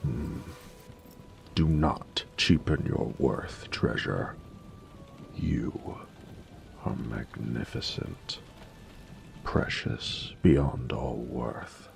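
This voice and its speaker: Rumbling, ultra-deep flirty dragon. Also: male voice, a little hiss or hum, heavily acted. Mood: adoring, seductive. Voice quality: very deep, gravelly, often dropping to a whisper. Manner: slow, formal, doting, seductive. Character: flirty male dragon, tender, adoring magic mentor. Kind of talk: intimate romantic audio roleplay.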